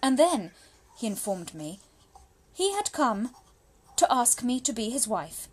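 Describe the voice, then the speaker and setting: High-voiced, anxious young Victorian gentlewoman, acted by a woman. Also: measured pace. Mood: distressed, shaken. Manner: formal, genteel, confiding, expressive phrasing. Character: anxious, spirited young Victorian gentlewoman confiding in her brother. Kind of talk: dramatic monologue reading of private letters.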